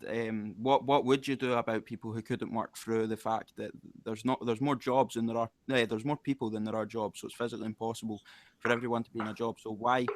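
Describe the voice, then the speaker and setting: A man's voice: fairly low pitch, fairly bright tone, dry recording. A man arguing his point in a casual online debate, rambling and restarting often. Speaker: debate participant.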